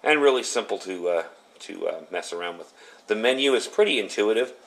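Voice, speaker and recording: A man's voice: on the low side, animated, brisk, gravelly, noisy background. A man giving a casual, unscripted product demonstration in a plain-spoken, explanatory, conversational way. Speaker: product reviewer.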